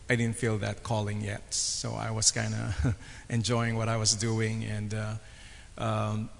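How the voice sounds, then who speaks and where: Filipino man, pastor, halting testimony, monotone, chapel reverb. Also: mid-range voice. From Philippines. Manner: halting, many fillers, flat delivery. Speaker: pastor and guest speaker. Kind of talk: personal testimony on a panel. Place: chapel on stage.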